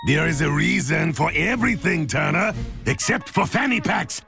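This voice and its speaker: A woman's voice: deep voice, expressive, normal speed, creaky, music in the background, full character voice. A woman voicing an overbearing, scolding cartoon character in a declamatory manner.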